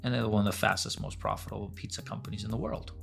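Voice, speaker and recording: Man, 40s, podcast guest; rapid, low-pitched, candid and profane storyteller. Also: fairly even intonation, vocal fry, fairly bright tone, soft background music. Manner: blunt, casual, swears freely. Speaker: podcast guest, pasta business owner.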